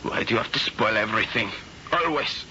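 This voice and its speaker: An actor playing a shy, wounded young son with an emotional, lively delivery in a radio drama. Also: male voice, on the low side, brisk. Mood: resentful. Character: shy, hurt young son turned eager. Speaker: troubled son. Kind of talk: 1970s radio drama acting. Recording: dull old broadcast with loud hiss.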